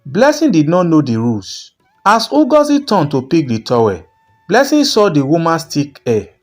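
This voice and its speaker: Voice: medium pitch, wildly swooping pitch, normal speed. A narrator telling a scripted folktale in a dramatic storytelling style.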